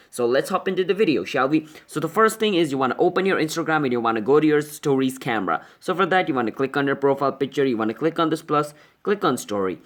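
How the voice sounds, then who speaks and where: A man narrating a casual how-to tutorial as its host, in a chatty way. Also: medium pitch.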